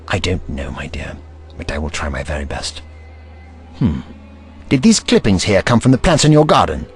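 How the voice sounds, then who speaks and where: An actor playing an eccentric, kindly and curious investigator in an audio drama, pensive and courtly in manner. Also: male voice, low voice, lively intonation, moderate pace, vocal fry. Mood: grave, kindly.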